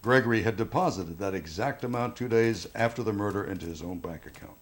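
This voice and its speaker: Low-voiced, insistent police detective played by a man. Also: close to an everyday voice. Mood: matter-of-fact. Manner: measured, pressing questions. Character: probing, insistent male police detective interrogating suspects. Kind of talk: naturalistic film drama acting.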